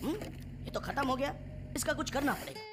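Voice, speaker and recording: Cartoon cat: high voice, good-natured, earnest little brother, theatrical, over loud music. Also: male voice, very animated, fairly quick. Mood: earnest, determined. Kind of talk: Hindi cartoon dubbing.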